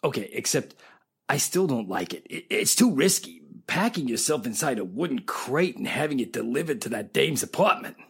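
A very raspy, dim henchman. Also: mid-range voice, big pitch swings, moderate pace, a bit bright, exaggerated voice. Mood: worried, doubtful. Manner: deferential, uneducated gangster talk. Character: dim, nervous male crook henchman posing as valet. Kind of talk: 1940s radio drama acting.

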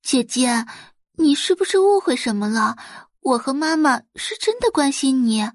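A woman's voice: high-pitched, very animated, normal speed, no room sound, clean studio sound, pitched above the performer's own voice, full character voice. A woman voicing a meek-sounding, scheming young sister in an audio novel, pleading and placating sweetly. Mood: hurt pleading.

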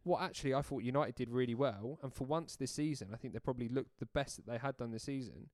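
Bloke, blunt football opinions, dry booth sound, average pitch. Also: male voice, quick pace, darkish tone, no echo. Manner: blunt, calls everyone mate. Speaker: podcast co-host. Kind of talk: casual football chat.

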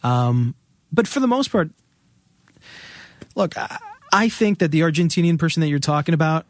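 A man in casual conversation, explaining at length in an animated way and often saying "man" and "you know". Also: on the high side, moderate pace. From United States. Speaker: podcast guest, media personality. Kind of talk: casual chat.

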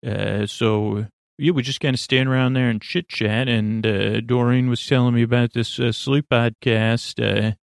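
Male sleep-podcast host, creaky and soothing, mid-pitched, wandering halting monologue, dry close recording. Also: steady tone, dry recording. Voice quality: creaky, lulling. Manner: meandering, halting, stammering asides. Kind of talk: rambling bedtime monologue.

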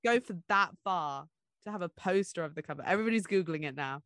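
A woman's voice: fairly low pitch, lively intonation, fast talker, a bit bright, no echo, studio quality. A woman co-hosting a podcast, chatting casually about books. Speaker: podcast co-host. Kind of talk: casual book chat.

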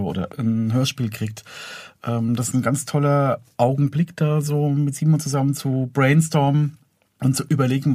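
A man's voice: medium pitch, mellow, no room sound. A man being interviewed as an audio drama producer and composer, talking in a casual, conversational way.

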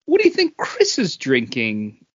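A man's voice: medium pitch, huge pitch swings, a little slow, fairly bright tone, slightly rough. A man chatting casually as a podcast co-host, a bit hesitant in his phrasing. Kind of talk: casual chat. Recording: dull lo-fi audio.

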